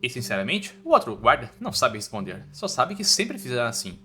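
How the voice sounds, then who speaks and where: A man's voice: mid-range voice, huge pitch swings, a little fast, pushed and bright. A man with a Brazilian accent narrating a story recap from a script in an informal style, with music behind him.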